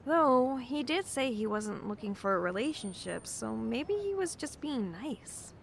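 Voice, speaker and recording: An actress playing an anxious, apologetic young bookshop clerk in an audio drama, speaking casually and hesitantly. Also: female voice, a little high, big pitch swings, unhurried, gravelly, a little hiss or hum, heavily acted. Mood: worried, musing. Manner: hesitant, self-deprecating, casual. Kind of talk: naturalistic audio drama acting.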